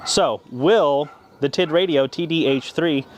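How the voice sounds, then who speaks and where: A man's voice: a little high, a little slow, slight background noise. An American man talking casually as the host of an amateur radio video. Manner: says call signs in phonetic alphabet. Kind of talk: casual vlog talk and radio call-sign exchanges.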